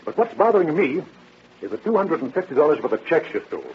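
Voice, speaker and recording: Shrewd police captain, muffled radio. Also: male voice, fairly high pitch, very animated, quick pace, slight background noise. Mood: coaxing. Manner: informal, wry, coaxing. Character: shrewd, folksy, needling male police captain. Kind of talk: 1930s radio acting. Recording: dull vintage radio broadcast.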